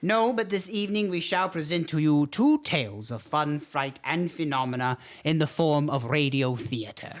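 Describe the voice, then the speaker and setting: A man's voice: a little high, expressive, normal speed, few pauses, lightly acted. A man as a hammy, swooping count. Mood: grandly theatrical. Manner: theatrical, formal, grandiose. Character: hammy, genteel male vampire count horror host. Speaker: host. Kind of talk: campy horror-host radio theater.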